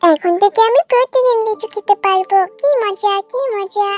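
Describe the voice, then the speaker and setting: A woman's voice: extremely high pitch, moderate pace, a little mellow. A woman voicing a kind, innocent little village girl in a children's cartoon, earnest and eager. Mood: delighted. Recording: music behind the voice. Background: children's music.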